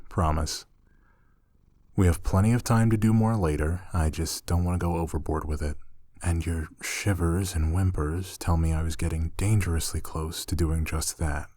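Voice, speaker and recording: A man acting an affectionate, teasing roommate in an intimate ASMR roleplay, soft-spoken and playful. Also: deep voice, no echo. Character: affectionate, teasing male roommate gently pampering a shy catgirl.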